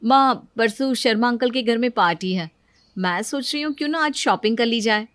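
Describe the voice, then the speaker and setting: Woman voicing a high, plaintive elder daughter-in-law. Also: moderate pace, fairly bright tone, no echo, close to an everyday voice. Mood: eager, nagging. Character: put-upon elder daughter-in-law, fashion-keen, complaining and envious. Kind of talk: Hindi cartoon story dialogue.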